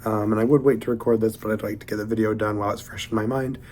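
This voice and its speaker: A man's voice: lowish voice, steady tone, racing, a little mellow, slight background noise. A man getting over a cold, giving a casual spoken book review in a hesitant, rambling way as he searches for words. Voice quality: slightly stuffy voice.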